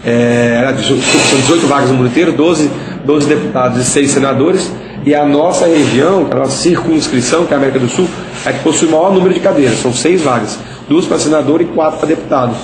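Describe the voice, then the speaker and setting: A man's voice: medium pitch, brisk, background noise. A man from Espírito Santo with a Capixaba accent, answering interview questions in an explanatory way and often tagging sentences with "né?". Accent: Capixaba Brazilian Portuguese. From Espírito Santo, Brazil. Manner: explanatory, frequent "né?" tags. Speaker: interviewee, political candidate. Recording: echoey with background ambience.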